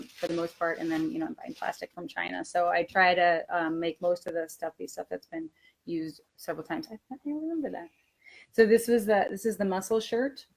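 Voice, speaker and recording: A woman speaking as a presenter and teacher trainer in an informal, conversational webinar. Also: on the low side.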